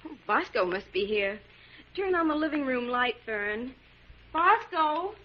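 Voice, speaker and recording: A dull vintage radio recording carries an anxious, stubborn young heroine. Her voice is fairly high, earnest and insistent. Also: sing-song, unhurried, heavily acted. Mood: friendly, uneasy. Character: anxious, stubborn young woman standing up for herself. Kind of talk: 1940s radio drama acting. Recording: muffled 1940s radio recording.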